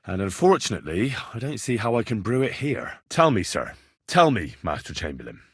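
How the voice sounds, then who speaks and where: An actor playing a respectful, earnest young vagabond who poses as a learned medieval healer in a video game, speaking in measured, formal address. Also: male voice, on the low side, very animated, slightly rough, no room sound, studio quality. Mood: earnest, persuasive. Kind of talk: scripted video game dialogue.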